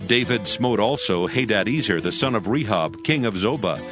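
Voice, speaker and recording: A man narrating a dramatized scripture reading in a measured, formal storytelling manner. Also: lowish voice, lively intonation, music in the background. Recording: faint musical score behind.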